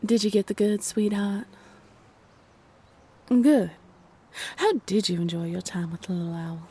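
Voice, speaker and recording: A woman's voice: mid-range voice, little pitch movement, a little slow, dark tone, breathy edge. A woman voicing a smug, teasing female guide who toys with humans in a romantic roleplay audio drama, in a sly manner.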